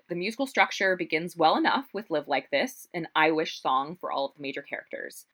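A woman co-hosting a casual, opinionated chat about musical theater, talking in an animated, slangy way. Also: low-pitched. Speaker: podcast co-host.

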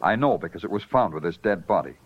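An American actor playing a world-weary, hard-boiled New York detective in a 1950s radio crime drama, terse and clipped. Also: male voice, deep voice, expressive, fast, slight background noise. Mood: accusing, hard. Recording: dull vintage radio recording, music behind.

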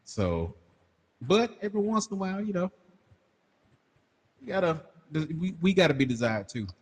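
A man's voice: high voice, sing-song, moderate pace, husky. A man of about forty, chatting casually as a podcast co-host, laid-back and laughing often. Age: 40s. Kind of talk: casual chat.

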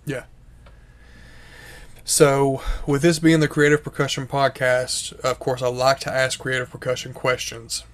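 A podcast host asking questions and giving brief affirmations in an even tone. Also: medium pitch, unhurried. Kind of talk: interview host.

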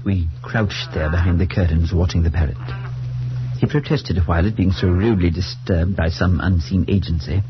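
An actor playing a grave, troubled priest who narrates in a measured, solemn 1940s radio suspense style. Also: male voice, low voice, noisy background, lightly acted. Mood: hushed dread. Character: grave, troubled male priest, shaken but reasoning. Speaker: narrator and character. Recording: dull 1940s radio recording with music.

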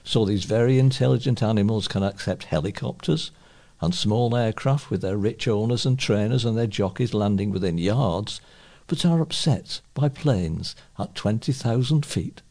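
Male volunteer news reader, fairly deep voice with noticeable fry, reading scripted local press items. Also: moderate pace, warm tone.

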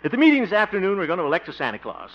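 A man's voice: high-pitched, wildly swooping pitch, rapid-fire, heavily acted. An actor playing a jovial, self-pleased middle-aged man in a hearty, blustery, chuckling style of 1950s radio comedy. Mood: self-pleased, cheery. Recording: noisy, muffled old radio recording.

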